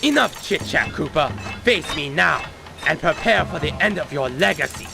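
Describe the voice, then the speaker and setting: Arrogant game villain: slightly high, gravelly voice, pompous theatrical menace, over music. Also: male voice, animated, moderate pace. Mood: gloating fury. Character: arrogant, menacing villain lord of dark arts. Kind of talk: video game cutscene acting.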